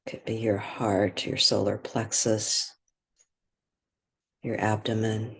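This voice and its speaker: A meditation guide speaking gently and in a measured way, leaving long pauses between phrases. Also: mid-range voice, level tone, breathy edge. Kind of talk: guided meditation, soothing spoken instruction.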